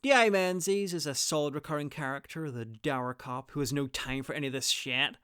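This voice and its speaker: Clear, dry-recorded man narrating a review. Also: a little high, a little fast, pushed and bright, no room sound. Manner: opinionated, informal, wry. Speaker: reviewer presenting an audio drama guide. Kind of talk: scripted review narration with casual asides.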